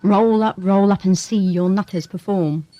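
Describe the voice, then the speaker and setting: A woman playing a brisk, sardonic space station doctor in an audio drama, dry and assured. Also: medium pitch, fairly even intonation, warm tone, dull audio, natural voice. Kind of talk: radio drama acting. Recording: hissy amateur 1980s audio drama tape.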